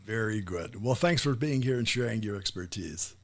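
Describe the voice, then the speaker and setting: A man's voice: on the low side, lively intonation, moderate pace. A man speaking as the host and interviewer on a podcast, in a conversational style.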